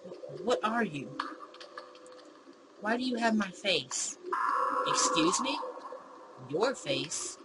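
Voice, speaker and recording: A woman's voice: medium pitch, lively intonation, slow, pauses often. A woman voicing a bewildered, argumentative man in a visual novel, with an indignant, pedantic and sarcastic manner. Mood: indignant, startled. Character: bewildered, argumentative man facing his own double. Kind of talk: visual novel character read-aloud. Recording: clear background noise.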